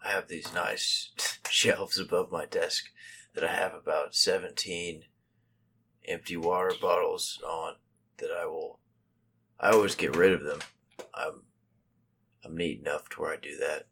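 A man in his early twenties with a raspy, low voice, talking in a subdued, hushed, tired way in a casual chat. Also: fairly even intonation, a little slow, pauses often, a little hiss or hum. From Texas. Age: 20s. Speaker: podcast co-host.

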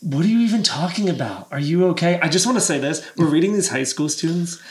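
A man's voice: a little high, expressive, quick pace. A man hosting a comedy podcast in casual, chatty talk. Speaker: podcast host. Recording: clearly noisy recording.

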